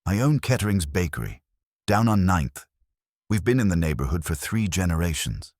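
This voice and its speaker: Man voicing an anxious, deep-toned bakery woman. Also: sing-song, normal speed, a little mellow, no room sound, clean studio sound. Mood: worried, grave. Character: anxious, determined small woman bakery owner.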